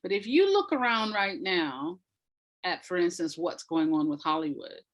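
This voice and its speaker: A woman speaking as an interview guest, reflective and emphatic, deliberately repeating words to stress her points. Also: fairly low pitch, lively intonation. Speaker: interview guest, audio drama writer.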